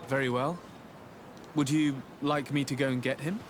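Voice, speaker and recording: A man's voice: medium pitch, fairly even intonation, moderate pace, background noise, lightly acted. A man playing an earnest, courteous and resolute young nobleman envoy in a video game.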